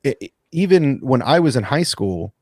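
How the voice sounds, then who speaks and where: A man's voice: mid-range voice, big pitch swings, moderate pace, darkish tone, dry recording, clean studio sound. A man of forty on a podcast panel, talking with slangy enthusiasm. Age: 40s. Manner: enthusiastic, slangy, fan talk. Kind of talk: casual podcast chat.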